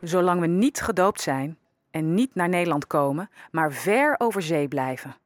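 A woman playing a quietly resigned young enslaved servant in a historical audio drama, telling her story in a measured, reflective way. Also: fairly low pitch, expressive, natural voice. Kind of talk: audio drama first-person narration.

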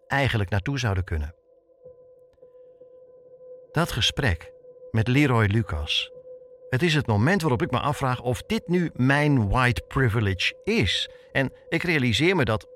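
A man's voice: medium pitch, fairly bright tone. A man with a Netherlands Dutch accent narrating a scripted personal documentary as a reporter, reflective and posing questions to himself. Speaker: reporter and podcast host. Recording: music bed under the voice. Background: music.

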